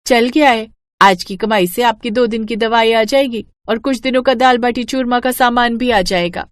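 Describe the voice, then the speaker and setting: A woman's voice: a little high, normal speed, no room sound, studio quality, natural voice. A woman voicing a young, dutiful, hardworking daughter-in-law who sells street food in a moral story. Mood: reassuring.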